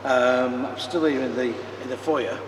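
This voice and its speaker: A British man with a cold-roughened, croaky voice chats casually to camera, trailing off into ums and restarts. He films himself in the foyer of a large exhibition hall. Constant background noise from the venue sits clearly under his voice. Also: medium pitch, fairly even intonation, measured pace. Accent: British English. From United Kingdom. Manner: chatty, rambling, with many ums. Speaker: vlogger. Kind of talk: casual walk-and-talk vlog.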